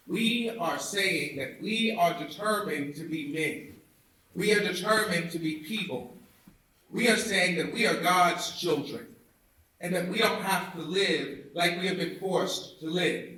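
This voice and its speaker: Male orator, high-set voice, emphatic preacher-like delivery, very reverberant indoor theatre sound. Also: sharp tone. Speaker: reader of a historic speech. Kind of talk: oratorical public speech reading. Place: indoor theatre, recorded from afar. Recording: echoey hall, distant mic.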